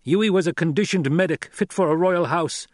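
A male narrator plays a loyal warrior burning for revenge in an audiobook. He snarls his threats forcefully, pitched up and loud, in a very dry recording. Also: brisk, no echo, lightly acted. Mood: angry, demanding. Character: enraged, vengeful loyal warrior holding a hostage. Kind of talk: audiobook character acting.

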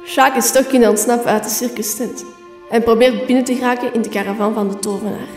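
A woman's voice: fairly high pitch, steady tone, fast, natural voice. A woman reading scripted narration for a radio play. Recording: echoey room, faint music.

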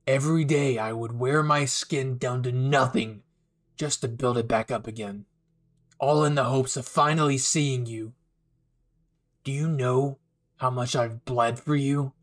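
A man playing a bitter, unhinged young villain in an anime roleplay, taunting and menacing, with dramatic pauses. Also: mid-range voice, voice pushed down, lightly acted. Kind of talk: anime fan ASMR roleplay acting.

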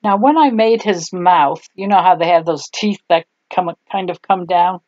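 A woman's voice: fairly low pitch, moderate pace, a little mellow, no echo, dull audio. An older woman, German her first language, chatting in a homey, rambling way while she shows a clay craft, tired at the end of the day. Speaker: craft tutorial host. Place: home craft table, indoors.

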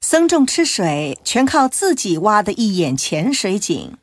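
A woman's voice: mid-range voice, sing-song, unhurried, no room sound. A woman reading scripted storytelling narration as a narrator of an audiobook.

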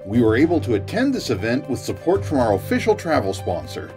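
A man's voice: medium pitch, huge pitch swings, fairly quick, music in the background. A man in his forties hosting an event vlog with upbeat, effusive narration and interviewing. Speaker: host. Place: busy sports arena expo booth.

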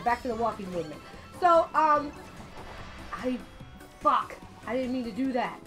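A young woman with a slightly raspy voice from being sick, chatting casually and swearing as she plays a racing game on a headset mic. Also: sits high, rough recording. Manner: chatty, swears often, loud outbursts at the game. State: a little sick. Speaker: gaming channel host. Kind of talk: casual let's-play commentary.

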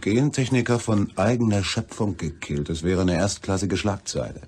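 An actor playing a cynical, flippant newspaper reporter in a crime radio play, with sardonic delivery. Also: male voice, deep voice, normal speed, darkish tone, breathy edge. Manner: sardonic, dry wisecracking.

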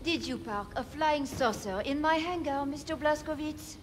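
An actress playing an eccentric, cryptic French bartender in a video game, speaking eerily with a French accent. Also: female voice, high-pitched, moderate pace, long reverb tail, slight background noise, close to an everyday voice. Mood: cryptic, odd.

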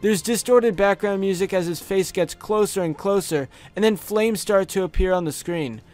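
A man with a noticeably high voice narrates scripted horror stories as a video host. He speaks close to the microphone. Faint music plays behind him. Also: moderate pace, darkish tone. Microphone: close studio USB microphone.

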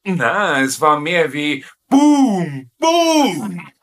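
An actor playing a flustered, obedient camp guard in a sitcom dub, blustering and folksy. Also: male voice, on the high side, big pitch swings, extremely slow, a bit bright, heavily acted. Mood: insistent, puzzled. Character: flustered, whiny, obedient German camp guard, middle-aged. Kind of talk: dubbed sitcom acting.

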